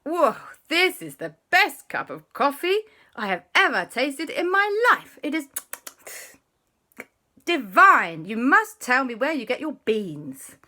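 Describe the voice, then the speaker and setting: Gushing fussy father, played by a woman, high and lively. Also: takes it slowly, full character voice. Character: Papa, a polite fussy father.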